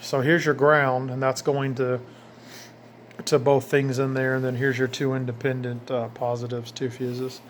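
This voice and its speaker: A man's voice: mid-range voice, flat delivery, moderate pace. A man giving a casual, plainspoken walkthrough of his own do-it-yourself work, informally pointing things out as he goes. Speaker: DIY hobbyist showing his own install. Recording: noticeable ambient background noise.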